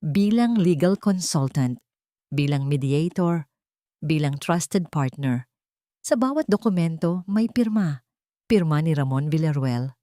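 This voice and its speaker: A woman narrates a dramatic love story in a low-pitched voice. The delivery is scripted and measured, with emphatic suspense, and the sound is dry. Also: lively intonation, warm tone, no room sound.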